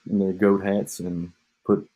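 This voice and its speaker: A man's voice: low voice, flat delivery, slow pace, warm tone. A man co-hosting a podcast, rambling and joking in casual chat, drifting off mid-thought, with an echo on his home mic. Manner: joking, meandering, trails off. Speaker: podcast co-host.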